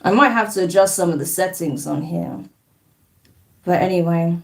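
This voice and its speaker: A woman's voice: fairly low pitch, moderate pace, vocal fry, distant mic. A woman with a slight New Zealand twang hosting a live card-reading stream, chatting casually and rambling as she reads viewer comments and bluntly dismisses trolls.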